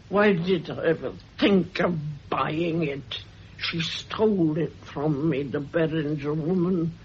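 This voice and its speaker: An actress voices a spiteful old woman in a dull old radio play, who drags out every word in a low, husky mutter. Her voice sits rather high, yet it stays grim and menacing. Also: exaggerated voice. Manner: bitter, threatening, slow drawl. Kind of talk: radio drama acting.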